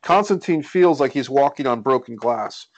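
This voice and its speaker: A man's voice: mid-range voice, animated, moderate pace, muffled recording. A man reading stage directions as scripted narration at a screenplay table read.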